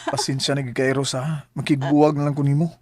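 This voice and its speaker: A man's voice: mid-range voice, little pitch movement, fast, noisy background, close to an everyday voice. An actor playing a smitten, playful young ladies' man in a Bisaya radio drama, with a Filipino accent. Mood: firm, regretful.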